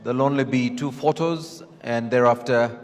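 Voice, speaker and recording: A man's voice: mid-range voice, steady tone, moderate pace. A man acting as master of ceremonies, making polite, formal announcements and giving instructions to guests. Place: large event tent, over loudspeakers. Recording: reverberant PA sound, faint music behind.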